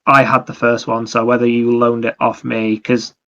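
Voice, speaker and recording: Bright-voiced man, evenly pitched. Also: monotone, normal speed, lo-fi sound. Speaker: podcast co-host. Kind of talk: casual video game discussion.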